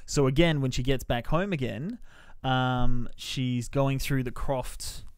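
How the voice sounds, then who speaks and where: A man's voice: medium pitch, fairly even intonation, slow, smooth, no room sound, studio quality. A man hosting a podcast, giving a casual, sweary, colloquial review of a film. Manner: sweary, colloquial, loosely descriptive.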